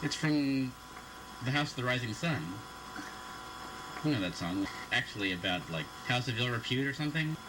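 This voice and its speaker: A man's voice: medium pitch, lively intonation, normal speed, pauses often. A man telling a family anecdote as a father in casual talk, on a noisy homemade recording. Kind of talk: casual chat. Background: clear background noise.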